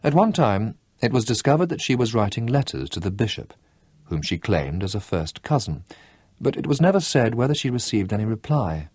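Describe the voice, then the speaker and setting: Husky low-voiced male storyteller. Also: no echo. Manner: lively, dramatic reading with slowed emphasis. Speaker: storyteller reading a novel aloud. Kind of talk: expressive audiobook narration.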